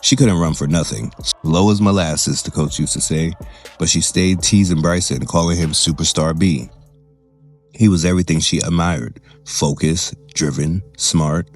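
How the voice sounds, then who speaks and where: A man narrating a scripted drama in short, measured, dramatic phrases. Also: very deep voice, slightly rough. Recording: music behind the voice.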